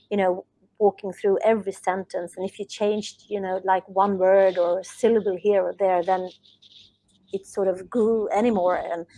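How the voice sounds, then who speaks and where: A Swedish woman speaks as an author guest in an interview, her English carrying a Swedish accent. She talks earnestly, circling back and restarting as she searches for words. Her pitch sits in an ordinary middle range. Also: mellow, low-quality recording. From Sweden. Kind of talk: podcast interview.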